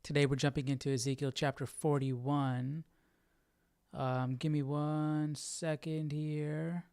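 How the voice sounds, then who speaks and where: A man hosting a live Bible study in a casual, halting, conversational way, often checking in with listeners as he explains. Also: fairly high pitch, level tone, a little mellow, clear, resonant tone, dry recording, clean studio sound. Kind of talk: casual livestream Bible teaching.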